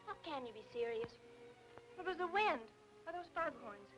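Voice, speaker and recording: An actress playing a lively, fussing young sister in 1940s film noir style, brisk and wisecracking. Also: female voice, high voice, poor audio. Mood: teasing. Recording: old film soundtrack with music underneath.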